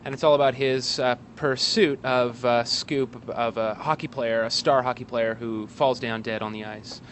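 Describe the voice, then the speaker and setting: A man giving a casual interview as a guest, speaking in a hesitant, halting way with wry asides, outdoors in an alley. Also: medium pitch, fairly even intonation, a bit bright. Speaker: guest, actor and playwright. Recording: street noise, traffic outdoors.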